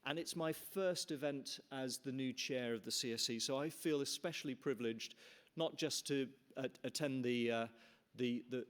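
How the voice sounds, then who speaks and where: Canadian-born man giving an earnest welcome speech. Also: mid-range voice. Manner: earnest, with frequent restarts and fillers. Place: large conference hall.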